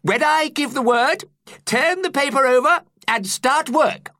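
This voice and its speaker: A man voicing a sweet, fretful old male schoolteacher in a high, squeaky voice for a storybook reading. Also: measured pace, sharp tone, dry recording, clean studio sound, heavily acted. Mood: instructing. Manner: fretful, hesitant.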